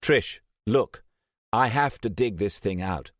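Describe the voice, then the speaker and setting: A man's voice: mid-range voice, very animated, measured pace, fairly bright tone, no echo, clean studio sound, pitched above the performer's own voice. A man voicing a driven woman archaeologist who speaks firmly and insistently. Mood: conciliatory. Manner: firm, terse and insistent.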